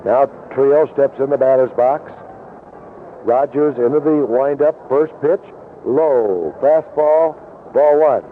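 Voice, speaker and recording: A man's voice: medium pitch, slow. American guy calling a ballgame on old 70s radio, tinny narrow sound w some background noise. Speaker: baseball play-by-play announcer. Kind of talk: 1970s radio baseball commentary. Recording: tinny noisy vintage AM radio broadcast.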